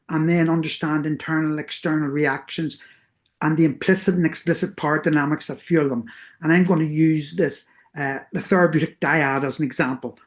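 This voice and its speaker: A middle-aged presenter with a Northern Irish accent walks listeners through research in an earnest, explanatory tone. The delivery is unhurried and careful, with small self-corrections as ideas are refined mid-sentence. The voice sits at an ordinary middle pitch. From Northern Ireland. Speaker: therapist presenting doctoral research. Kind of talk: academic workshop presentation.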